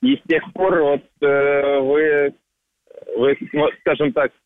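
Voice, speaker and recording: A man's voice: a little high, steady tone, slow pace, no room sound. A man in about his thirties from southern Russia, a caller chatting casually and enthusiastically on a radio show. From Rostov-on-Don, Russia. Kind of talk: casual phone chat. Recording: band-limited phone line.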